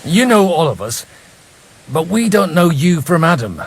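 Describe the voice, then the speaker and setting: An actor playing a self-assured, dry-witted medieval adventurer in a game, assertive and sardonic. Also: male voice, fairly high pitch, very animated, normal speed, a little hiss or hum, low-quality recording. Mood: dry, questioning.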